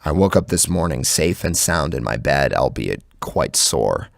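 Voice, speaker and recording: A man's voice: very deep voice, big pitch swings, unhurried, creaky, dry recording. A man narrating a first-person horror story in a theatrical, suspenseful way.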